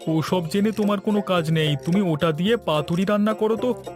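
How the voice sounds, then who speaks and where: A caring young village husband, played by a man in a cartoon tale. His voice is very high and coaxing, with clear background music. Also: a little fast, lightly acted. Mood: cheerful. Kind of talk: animated moral story dialogue. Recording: music bed behind dialogue.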